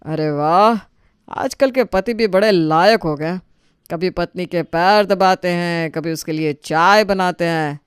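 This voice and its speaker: A man's voice: high voice, clean tone, no echo, clean studio sound. Man acting a smug, taunting older brother. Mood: sarcastic. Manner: sarcastic, drawn-out teasing.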